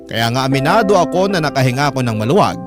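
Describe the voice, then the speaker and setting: A man's voice: mid-range voice, lively intonation, quick pace. A man reading a scripted first-person story as a radio drama narrator. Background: music under the voice.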